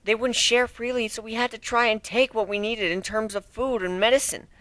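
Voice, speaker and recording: A nervous young female griffin, acted out by a man in a fantasy story reading. The voice is high and soft, hesitant and prone to stammering, timid yet defensive. The recording is close and very dry. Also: fairly even intonation, brisk, no echo. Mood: subdued, explaining. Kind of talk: dramatic fan fiction reading.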